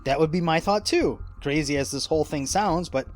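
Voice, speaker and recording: An actor playing an excitable, skeptical sailor in a radio drama, talking bluntly and colloquially. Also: male voice, a little high, normal speed, soft background music, close to an everyday voice. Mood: urgent, blunt.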